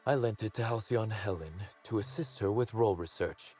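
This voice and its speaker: An actor playing a polished underworld boss in a video game, speaking formally and articulately with wry menace. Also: male voice, fairly low pitch, normal speed, a little mellow, soft background music, lightly acted. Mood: wary, measured. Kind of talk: video game character dialogue.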